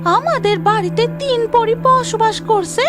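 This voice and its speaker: A woman voicing a supportive village wife in a fairy-tale cartoon, homely and expressive in her way of talking. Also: very high-pitched, measured pace, music in the background, voice pushed up. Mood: amazed, approving.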